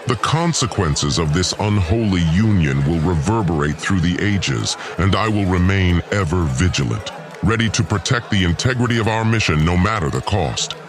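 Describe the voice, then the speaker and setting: A man's voice: low-pitched, lively intonation, normal speed, over music. A man playing a vengeful, disdainful god lord in an audio drama, delivering a formal, pompous and menacing villain monologue. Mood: menacing, bitter. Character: vengeful, disdainful male god lord plotting against humans.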